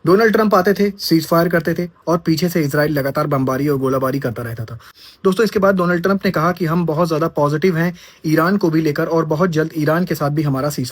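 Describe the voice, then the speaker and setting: Husky man doing news commentary. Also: a little high, dry recording. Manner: addresses viewers as friends, rhetorical questions.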